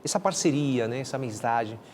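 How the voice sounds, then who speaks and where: Man, guest pastor, measured, repeats words, mid voice. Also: medium pitch, expressive, a little mellow, slight background noise. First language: Spanish. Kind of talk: casual studio talk.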